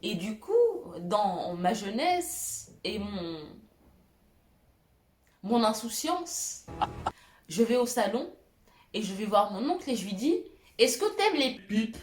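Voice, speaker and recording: A woman's voice: mid-range voice, sing-song, slow, pauses often, darkish tone. Giggly young woman in an echoey room. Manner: self-mocking, giggly, many fillers. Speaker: YouTuber. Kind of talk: storytelling vlog.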